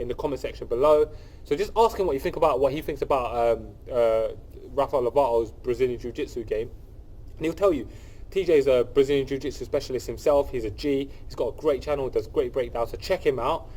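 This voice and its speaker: Man, young adult, sniffly cold, rapid talk, mellow voice, eager fight-channel host. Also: medium pitch, a little hiss or hum. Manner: enthusiastic, repeats phrases, many fillers and restarts. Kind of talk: casual solo commentary to camera.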